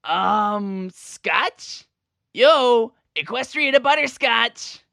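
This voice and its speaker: A woman's voice: a little high, animated, extremely slow, vocal fry, a bit bright, dry recording, studio quality, full character voice. A woman voicing a brash, teasing, cocky young male pegasus pony with boisterous slang in a fan-made audio story. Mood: puzzled. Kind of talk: fan fiction audio drama.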